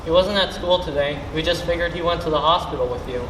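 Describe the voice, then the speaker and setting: In a noisy, echoey room, a young man acts a joking teenage jock in a school play. His voice is slightly high for a male and his delivery is laid-back. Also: fast, close to an everyday voice. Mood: casual. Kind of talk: stage acting in a school play. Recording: echoey, noisy stage recording.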